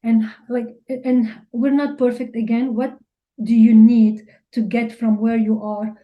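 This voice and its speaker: A woman's voice: fairly high pitch, level tone, unhurried, muffled recording. A woman from Lebanon with a Lebanese accent, answering interview questions candidly and informally, often saying "like". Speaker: interview guest, business leader and mentor.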